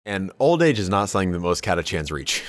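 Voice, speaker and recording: A man's voice: sits low, very animated, fast talker, no room sound. A man co-hosting a podcast, chatting casually about tabletop game lore with wry asides. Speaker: podcast co-host.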